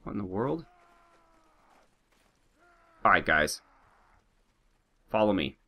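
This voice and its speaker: Animated, joking, slightly sick man. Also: low voice, slow. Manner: animated, joking, talks to his troops and viewers. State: slightly sick, a bit nasal; sore throat. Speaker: let's play host. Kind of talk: gameplay commentary.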